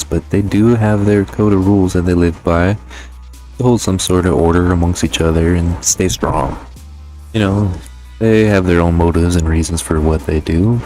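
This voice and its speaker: A man's voice: sits low, darkish tone. A man giving a casual, conversational video game review voice-over in slangy, sometimes profane language.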